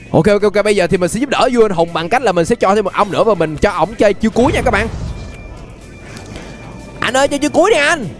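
Young man, Southern Vietnamese accent, high voice, excited gaming commentary, exclamatory, repetitive, music underneath. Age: young adult. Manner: exclamatory, repeats phrases, drops in English interjections. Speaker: gaming YouTuber. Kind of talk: excited gameplay commentary for viewers. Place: indoor gaming room.

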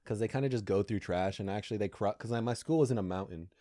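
A young man from Alaska, a guest comedian, chatting casually on a podcast in a small studio, talking in halting, rambling stretches and laughing often. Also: on the low side, warm tone, no room sound. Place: small studio with brick backdrop. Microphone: desk mic on boom arm.

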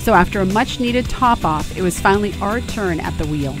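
A woman in her forties narrating and chatting as a vlogger while learning to handle her boat in a marina, upbeat and quick to laugh. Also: medium pitch, lively intonation, music in the background. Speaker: vlogger, mother learning to sail. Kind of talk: casual vlog narration and chat. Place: on a catamaran in a marina, outdoors.

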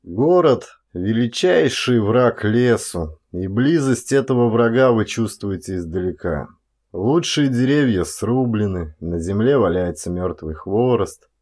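A man reading literary prose as an audiobook narrator, with measured phrasing and drawn-out emphasis on certain words. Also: fairly low pitch, animated, darkish tone.

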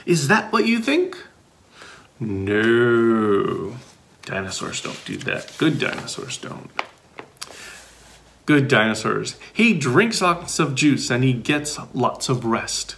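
A man's voice: mid-range voice, very animated, slow pace, creaky, a bit bright. A middle-aged man reading a children's picture book aloud expressively, with sing-song questions and playful asides. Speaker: volunteer storybook reader.

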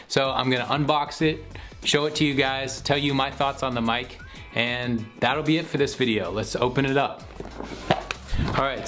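A man's voice: medium pitch, a bit bright. A young man giving a casual, conversational product review to camera in a small home studio room, picked up by a camera microphone. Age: young adult. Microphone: camera microphone at about arm's length or a little more.

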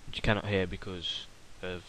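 Low-voiced young man explaining software. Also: level tone, moderate pace, slight background noise, low-quality recording. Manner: rambling, informal, with many fillers and restarts. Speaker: software tutorial host. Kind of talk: casual screen-recorded tutorial.

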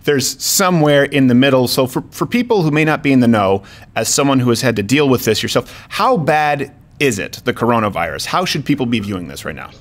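A man in his thirties hosting a studio talk show, joking and quick as he interviews a guest. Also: medium pitch, lively intonation. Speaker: show host. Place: studio with brick wall and neon sign. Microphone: studio mic on boom, headphones.

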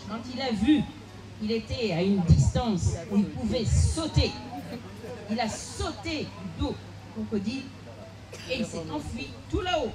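Woman telling a folk tale slow and dramatic, crowd noise all around. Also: mid-range voice, rough recording. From Cape Verde, raised in Senegal. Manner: expressive, dramatic pauses. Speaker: storyteller. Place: outdoor festival park. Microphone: handheld mic.

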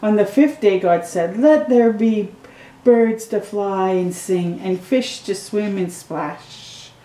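Middle-aged woman storytime reader, slow and mellow, reading a picture book at home, roomy echo. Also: mid-range voice. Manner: slow, gentle reading with excited asides to the viewer. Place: living room at home.

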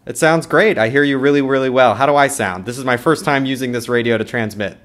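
Male, 30s, American, mid-pitched, casual vlogger talking at a desk indoors. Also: fast talker, fairly bright tone. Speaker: vlogger, new amateur radio operator. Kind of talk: casual talk to camera. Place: small indoor room at home.

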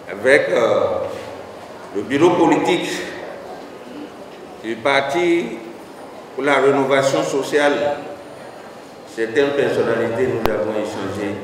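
A middle-aged man, a city mayor and party leader, giving a slow, deliberate political statement into reporters' handheld microphones at a large rally hall. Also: mid-range voice, recorded from a distance. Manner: slow, deliberate, emphatic with repetitions. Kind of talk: formal political statement to press. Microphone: handheld reporter microphones held close. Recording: echoey hall, noisy crowd ambience.

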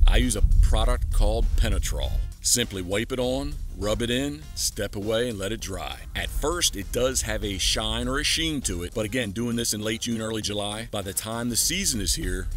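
The low-voiced, folksy middle-aged American guy chatting to his camera out in the backyard by the dock. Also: sharp tone. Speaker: vlogger, boat captain. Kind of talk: casual outdoor vlog narration. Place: outdoors in a breezy waterside yard. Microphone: handheld camera at arm's length.

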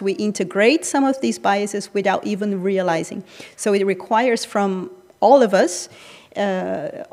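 A woman's voice: medium pitch, a little mellow. A woman academic speaking unscripted on a panel, reflective and hesitant, into a handheld microphone. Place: large hall, seated on panel stage. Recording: reverberant hall, handheld mic.

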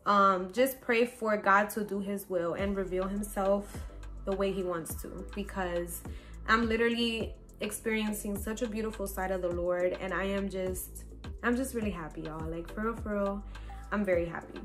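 A woman's voice: medium pitch, steady tone, moderate pace, a bit bright, soft background music. A woman about thirty talking casually to camera in her bedroom, upbeat and chatty with many fillers. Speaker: faith lifestyle vlogger. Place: bedroom at home, indoors.

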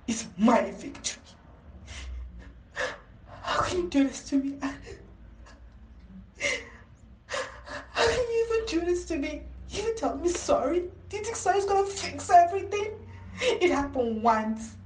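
A woman's voice: high voice, darkish tone. A woman playing a betrayed, bitter twin sister in a melodrama, anguished and accusing. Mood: defiant. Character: betrayed, bitter twin sister who raised her nephew. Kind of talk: melodramatic audio-drama acting. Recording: echoey room, distant mic, noisy, clicks.

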